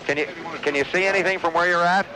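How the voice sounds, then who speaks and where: An actor playing a weary, anxious radio newsman in a studio during an invasion drama, speaking haltingly over a noisy old broadcast. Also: high voice, steady tone, lightly acted. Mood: grim. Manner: hesitant, halting delivery with repeated phrases. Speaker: news anchor. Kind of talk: radio drama newscast, ad-libbed sounding.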